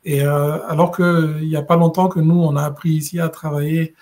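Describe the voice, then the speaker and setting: A middle-aged man from West Africa, a podcast guest, telling his story in a measured, thoughtful way with many pauses and restarts. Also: fairly high pitch, level tone, darkish tone, a little hiss or hum. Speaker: guest, regional director. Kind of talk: conversational podcast interview.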